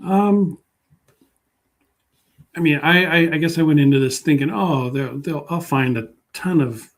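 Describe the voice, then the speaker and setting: A man talking casually as an interview guest, halting and unassuming as he thinks aloud. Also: fairly high pitch. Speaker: guest public historian.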